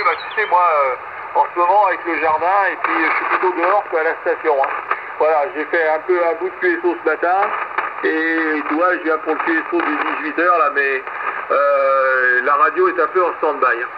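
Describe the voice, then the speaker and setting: A man's voice: fairly high pitch, fairly even intonation, brisk. A man talking casually as a ham radio operator, rambling with many fillers and restarts as he passes the mic around. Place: home radio station. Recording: lo-fi shortwave radio with static.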